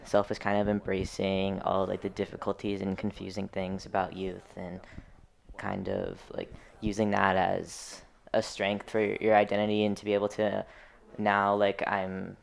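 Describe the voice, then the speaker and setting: A man's voice: low-pitched, completely flat delivery, moderate pace, gravelly. A young man reflecting casually on personal matters, hesitant and rambling, peppering the talk with filler.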